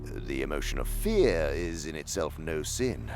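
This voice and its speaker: An actor playing a pompous, contemptuous demon uncle who mentors with menace in a theatrical radio drama. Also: male voice, very deep voice, very animated, music in the background, full character voice. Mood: sly, instructing. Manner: pompous, deliberate, sweeping from hushed to thundering.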